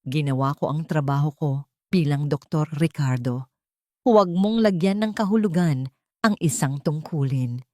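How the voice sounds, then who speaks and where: A woman narrator plays a resolute woman doctor in an audio story. The voice is low and dry, with a stern authority. Every phrase is cold, bitter and cutting. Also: normal speed, dark tone, no echo, close to an everyday voice.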